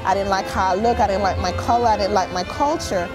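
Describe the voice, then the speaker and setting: A woman's voice: medium pitch, fast. A woman in her forties, a native Haitian Creole speaker, talks earnestly and reflectively in a documentary interview on a city sidewalk. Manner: reflective, earnest, gesturing with hands. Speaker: performance artist. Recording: music bed under the voice.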